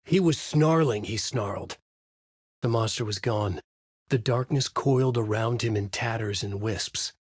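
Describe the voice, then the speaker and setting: A man's voice: on the low side, a little slow, husky, no echo, clean studio sound. A middle-aged man narrating a video game as a brooding writer, in a noir-like inner monologue. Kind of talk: hard-boiled game narration.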